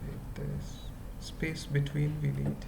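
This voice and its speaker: A tutorial presenter thinking aloud in halting, murmured fragments during an informal coding screencast. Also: mid-range voice, little pitch movement, vocal fry, noisy background. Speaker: web development tutorial presenter.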